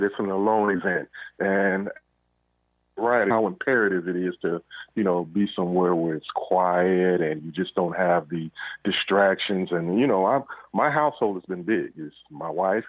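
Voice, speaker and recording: A man's voice: deep voice, no echo. A middle-aged man, an author being interviewed, telling his story in a casual conversational way. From United States. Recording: dull, lo-fi radio-like line.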